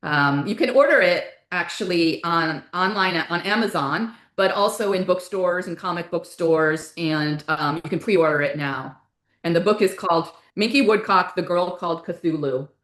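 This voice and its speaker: A woman's voice: on the low side, normal speed, a bit bright. A woman speaking casually as an interview guest, explaining her creative work in a rambling way that backtracks mid-sentence. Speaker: guest, author and playwright. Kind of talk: casual interview talk about own work. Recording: roomy, echoey indoor sound.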